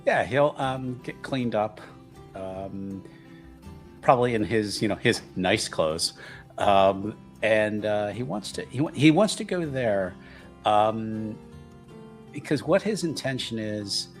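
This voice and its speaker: A man's voice: on the low side, expressive, slow pace, soft background music. A man chatting casually as a player in a tabletop roleplaying game, thinking aloud in a hesitant way.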